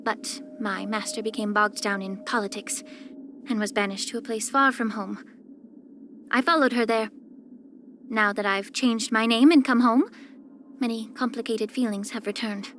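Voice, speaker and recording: A woman voicing a poised, soft-spoken, subtly sly young female healer in a video game, with measured, gentle, composed delivery. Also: a little high, fairly even intonation, a little hiss or hum, lightly acted. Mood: wistful, restrained. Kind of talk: scripted character voice lines.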